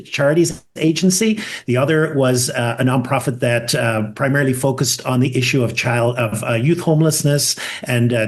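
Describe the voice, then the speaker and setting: A middle-aged man with a Northern Irish accent, talking reflectively as an interview guest in a measured, halting way. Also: mid-range voice. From Northern Ireland. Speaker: podcast guest, conflict resolution expert.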